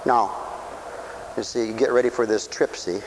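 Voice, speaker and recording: A man preaching a sermon for radio, who swings from loud, stretched-out lines to quiet asides and acts out other people's voices. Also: medium pitch, fairly even intonation, moderate pace, slight background noise, low-quality recording. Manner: dramatic swings from shouting to near whisper, acts out voices.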